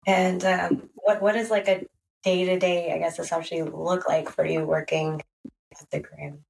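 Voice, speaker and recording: A woman's voice: fairly low pitch, flat delivery, normal speed, vocal fry, a little mellow, far from the mic, a little hiss or hum, poor audio. A woman hosting a podcast interview, asking hesitant, filler-heavy questions. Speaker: podcast host.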